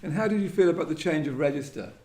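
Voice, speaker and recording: A man's creaky voice carries through an echoey room. He hosts a literary conversation in a casual, bookish way, talking with poets at a live event. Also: on the high side, quick pace, warm tone. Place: indoor public event venue.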